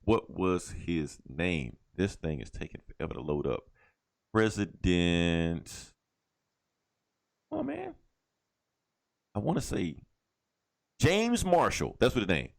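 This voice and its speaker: Animated, low-pitched man bantering on a podcast. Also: takes it slowly, gravelly, long pauses. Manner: profane, opinionated fan talk. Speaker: podcast co-host. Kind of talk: casual pop-culture banter.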